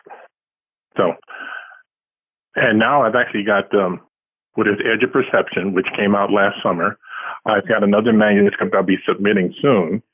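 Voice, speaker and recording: A man's voice: on the low side, fairly even intonation, normal speed, pauses often, husky, no room sound. A man of about seventy giving casual, modest and reflective interview answers as a writer, heard over a tinny phone line. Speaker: guest, science fiction writer.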